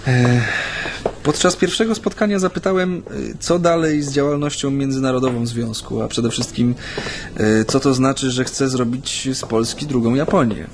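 An actor playing a chatty, nervous Japanese man steeped in Polish ways, speaking haltingly in naturalistic radio drama dialogue. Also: medium pitch, background noise, close to an everyday voice. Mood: earnest, reminiscing. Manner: hesitant, halting, with many hems and repetitions.

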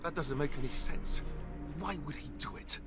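A man's voice: on the high side, normal speed, music in the background, close to an everyday voice. A young man acting an earnest, anxious warrior in a fantasy game, hesitant and naive in his delivery. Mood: bewildered, hesitant. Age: young adult.

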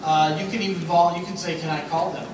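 A middle-aged man coaches a convention crowd in a confident, persuasive way, his voice a touch higher than most men's. It comes from far back in a big, booming hall with steady noise underneath. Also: fast talker. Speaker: panel host at a sales convention. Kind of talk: panel discussion. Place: large convention hall stage. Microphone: handheld mic. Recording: echoey hall, recorded from far off, noisy.

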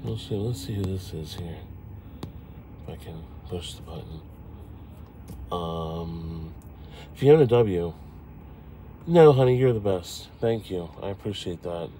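A man's voice: low voice, takes it slowly, long pauses, dark tone. A man in his forties chatting casually and rambling to his live stream viewers while driving at night. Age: 40s. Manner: chatty, self-deprecating, talks to viewers. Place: inside a car driving city streets at night. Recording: road and engine noise behind.

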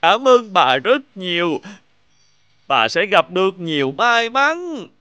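A man voicing a pitiful, hungry beggar in an animated folktale, making humble, plaintive appeals. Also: high-pitched, slow, clean tone, no room sound, heavily acted.

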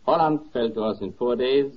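An actor playing a smug, brutal soldier in 1940s radio drama style. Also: male voice, medium pitch, moderate pace, a little hiss or hum, natural voice. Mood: smug, chipper. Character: smug, brutal German soldier gloating over conquest. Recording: dull 1940s radio record.

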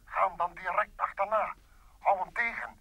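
An actor playing an urgent, mysterious caller giving warnings through a telephone filter in an old Dutch radio play. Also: male voice, sits high, fairly even intonation, moderate pace, pushed and bright, exaggerated voice, rough recording. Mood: urgent, commanding.

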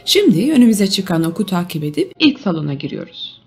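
Husky-edged female narrator with lively pitch. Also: a little fast, faint music behind. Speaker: documentary narrator and museum guide. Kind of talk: scripted tour narration.

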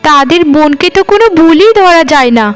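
An exasperated young bride from a family cartoon voiced by a woman. Her voice is notably high and her manner is full of complaint. Faint music sits behind her. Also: animated, a little fast. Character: fed-up youngest sister-in-law, newly married young wife. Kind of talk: scripted cartoon dialogue.

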